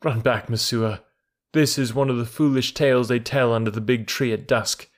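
A man's voice: medium pitch, fairly even intonation, normal speed, lightly acted. A man voicing a proud, scornful herdsboy raised by wolves in a storybook reading, assured and archaic in wording. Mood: calm, defiant.

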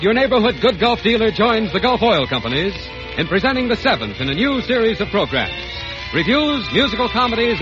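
A male announcer grandly proclaiming a scripted opening for a 1930s radio show. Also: high voice. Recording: dull 1930s radio recording, loud music. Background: loud music.

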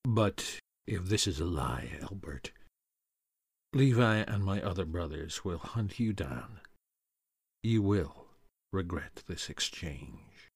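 A man's voice: sits low, expressive, slow, creaky, slightly rough, dry recording, clean studio sound, pitched below the performer's own voice, full character voice. A man voicing a shady, threatening man bargaining for secrets. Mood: menacing.

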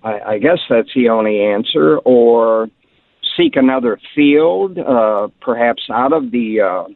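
A man in his seventies calling in to a talk radio show, speaking hesitantly with many pauses. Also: mid-range voice, animated, no room sound. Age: 70s. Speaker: caller. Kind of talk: phone-in call. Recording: tinny phone line.

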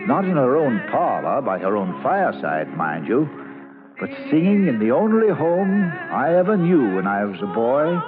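An actor playing an elderly stage actor who recalls his boyhood wistfully, in a measured, theatrical 1940s radio manner. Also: medium pitch, big pitch swings, close to an everyday voice. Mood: wistful, reflective. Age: older. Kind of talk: 1940s radio drama narration. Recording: old muffled radio broadcast with music behind.

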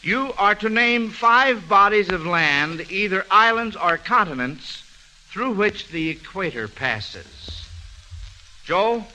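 A man hosts a vintage American radio quiz in an avuncular, halting patter. His voice sits fairly high and cuts through very sharply. The old broadcast recording has a faint hiss. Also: animated, pushed and bright, poor audio. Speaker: quiz show host. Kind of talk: 1940s American radio quiz show hosting.

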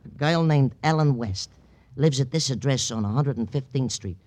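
An American actor playing a nervous, stammering, tight-fisted pawnshop owner with an oily, wheedling manner in a 1950s radio drama. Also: male voice, medium pitch, normal speed, a little mellow, close to an everyday voice. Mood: anxious, eager.

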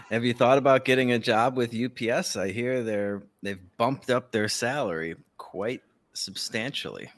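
A man's voice: mid-range voice, unhurried, no room sound. A man hosting a podcast with casual, opinionated and sardonic commentary, swearing now and then. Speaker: podcast host. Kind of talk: casual talk show commentary.

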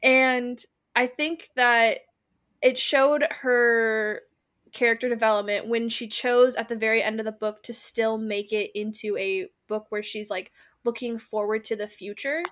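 A woman's voice: a little high, fairly even intonation, a little slow, a bit bright. A woman co-hosting a book club podcast, talking casually and chattily, often saying "like" as she goes.

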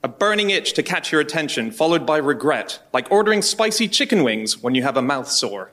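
A man's voice: a little high, big pitch swings, fairly quick, sharp tone. A man giving a dramatic reading as a podcast panellist.

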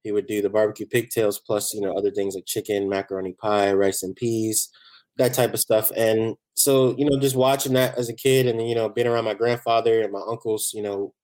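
A man from Barbados, chatting casually as a chef guest in a relaxed, rambling way. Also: on the low side, fairly quick. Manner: relaxed, rambling, many "you know" fillers. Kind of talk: casual interview chat.

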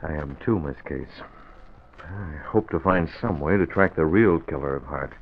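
Deep-voiced, decisive radio hero. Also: male voice, moderate pace. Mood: grave. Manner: decisive, commanding. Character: resolute newspaper publisher, secretly a masked crimefighter. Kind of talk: 1940s radio acting. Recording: tinny, noisy vintage radio.

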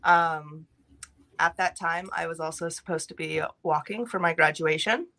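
Young california woman telling her story, lowish but bright voice, brisk and matter of fact. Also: fairly even intonation. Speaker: podcast guest.

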